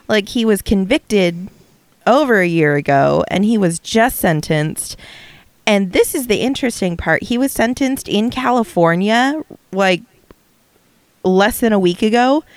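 Woman podcast co-host, smooth and resonant voice, dry close sound, hesitant and chatty. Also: mid-range voice, dry recording. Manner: chatty, hesitant, many ums and restarts. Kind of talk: casual podcast chat.

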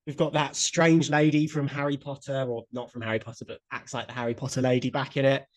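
A man's voice: mid-range voice, level tone, fast talker. A man co-hosting a podcast, chatting casually with strong opinions and the odd swear word. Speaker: podcast co-host. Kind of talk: casual chat.

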